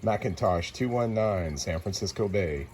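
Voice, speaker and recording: A man's voice: low voice, lively intonation, normal speed. An American man talking as a CB radio operator, casually making long-distance contact in a laid-back way with call signs. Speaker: CB radio operator and channel host. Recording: noisy CB radio room ambience. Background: radio noise.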